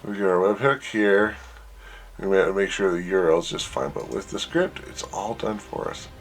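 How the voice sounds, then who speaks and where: A man presenting an informal software tutorial, thinking aloud as he works through each step. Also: low voice, normal speed, noisy background. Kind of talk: casual screen-share walkthrough.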